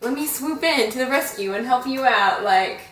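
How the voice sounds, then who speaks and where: Young woman chatting on camera, kinda high and bright, giggly, sounds a bit far from the mic. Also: lively intonation, moderate pace, echoey room, soft background music. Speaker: vlogger. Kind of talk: casual storytelling chat.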